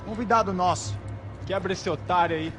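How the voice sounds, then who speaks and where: A man's voice: high-pitched, fast talker, over music, lightly acted. An actor playing a dismissive male gang member in a video game, curt, with a Brazilian Portuguese accent.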